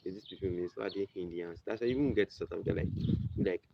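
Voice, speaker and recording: A man's voice: low voice, fast, creaky. A young man with a Nigerian accent, rambling through a casual explainer monologue with many restarts and asides. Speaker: video presenter. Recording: muffled lo-fi recording with background noise.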